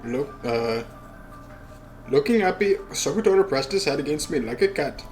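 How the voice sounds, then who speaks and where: A man's voice: on the high side, expressive, normal speed, a little mellow, soft background music. Casual man reading a game aloud. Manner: casual, swears in asides. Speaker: let's play host. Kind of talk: reading a visual novel aloud with casual commentary.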